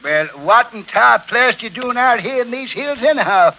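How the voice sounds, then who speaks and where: A man's voice: extremely high pitch, animated, moderate pace, sharp tone, heavily acted. A man playing a fussy old frontiersman in a 1940s radio western comedy, talking in folksy frontier slang. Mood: scolding. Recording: vintage 1940s radio recording.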